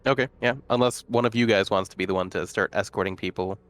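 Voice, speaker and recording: Male tabletop player, somewhat low voice, casual table chat, working out plans aloud. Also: fairly even intonation, quick pace.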